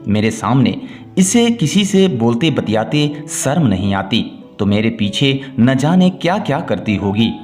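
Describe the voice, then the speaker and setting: A man's voice: medium pitch, big pitch swings, a little slow, darkish tone. A man voicing an aggrieved, suspicious old merchant in a folk tale, brooding indignantly over his household. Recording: music bed under the voice.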